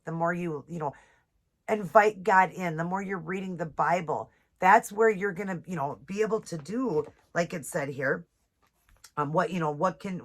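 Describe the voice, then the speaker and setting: A woman with a low, stuffy voice, still getting over a cold. She chats casually to her viewers. She reads devotional lines at an unhurried pace. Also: fairly bright tone. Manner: chatty, reads scripture slowly, apologizes often. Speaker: nail and devotional vlogger. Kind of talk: casual chat with devotional reading. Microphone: ring light setup, camera close.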